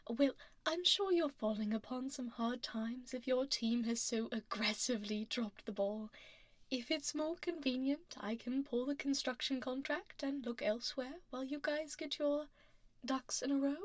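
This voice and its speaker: A woman voicing a powerful dragon lady executive in a soft, whispery ASMR roleplay, poised and condescending, politely menacing, close on a binaural mic. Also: high voice, no room sound. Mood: coolly threatening. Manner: polished, slow, politely menacing. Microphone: close binaural ear microphone.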